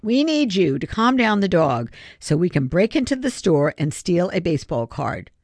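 A woman's voice: lowish voice, big pitch swings, normal speed, darkish tone, dry recording, studio quality. A woman voicing an earnest, scheming schoolboy ringleader who argues insistently in an audiobook story. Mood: nervous, stammering. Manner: pleading, insistent.

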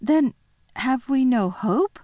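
A woman's voice: sits high, expressive, slow, a little mellow, no room sound, pitched above the performer's own voice, exaggerated voice. A woman voicing an anxious schoolgirl in a storybook, worried and urging.

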